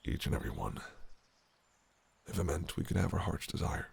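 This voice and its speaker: A man's voice: deep voice, big pitch swings, fairly quick, vocal fry, a little mellow, husky, full character voice. A man voicing a possessive, cunning fae prince in an audio roleplay, speaking in a silky, teasing, menacing way. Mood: ominous. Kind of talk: listener-directed audio roleplay.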